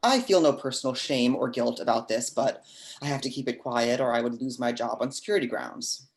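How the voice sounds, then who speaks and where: A man playing a timid, earnest government employee with a halting manner in a script table read. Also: medium pitch, close to an everyday voice. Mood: nervous, earnest.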